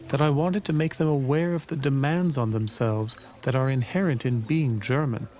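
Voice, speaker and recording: A man voicing an older male professor, reading a formal letter slowly and deliberately for a documentary. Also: medium pitch, darkish tone, lightly acted. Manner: slow, measured, formal. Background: clear music.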